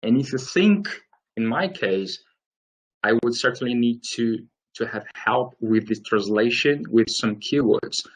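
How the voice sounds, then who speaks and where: A man's voice: mid-range voice, expressive, measured pace, low-quality recording. A man in his late thirties from Brazil with a Brazilian Portuguese accent, presenting slides in an explanatory way with hesitant phrasing. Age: late 30s. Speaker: webinar presenter. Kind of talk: instructional slide presentation, semi-scripted talk.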